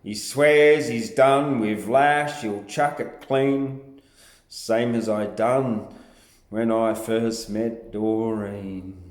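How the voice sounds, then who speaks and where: Very slow Australian man reciting verse. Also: on the low side, steady tone. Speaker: poetry reader. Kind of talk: dramatic recitation of comic vernacular verse. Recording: roomy, echoing sound.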